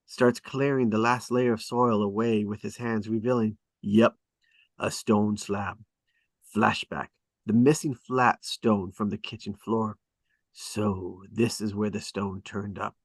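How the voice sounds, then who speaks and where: A man with a fairly low voice narrates screenplay directions in short, clipped, dramatic phrases. The sound is dry, with little room around it. He reads as a storyteller setting out each piece of action. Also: no echo. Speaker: script narrator at a table read. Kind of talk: scripted narration, reading stage directions.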